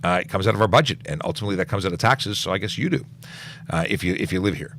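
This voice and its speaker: A middle-aged policeman with a low, deep voice hosts a casual live Q&A. He reads out questions and answers them off the cuff, with restarts and fillers, while a faint hiss sits under the recording. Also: animated, racing, fairly bright tone. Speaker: police officer hosting a Q&A livestream. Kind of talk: casual livestream Q&A.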